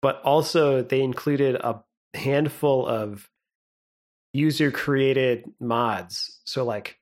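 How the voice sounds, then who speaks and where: A young man co-hosting a podcast in casual chat, relaxed and rambling, laughing often. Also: mid-range voice, slow. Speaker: podcast co-host.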